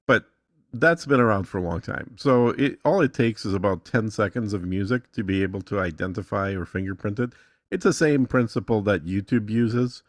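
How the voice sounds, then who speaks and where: A man from Texas chatting casually as a guest, explaining things hesitantly and thinking aloud with pauses. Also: low-pitched, no room sound, clean studio sound. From Texas, United States.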